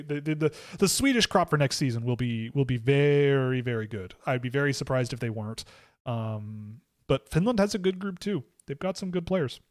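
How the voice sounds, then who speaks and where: A man's voice: medium pitch, dry recording, studio quality. A man hosting a livestream, talking casually and rambling through chat questions, with lots of filler words and restarts. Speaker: host of a hockey draft livestream.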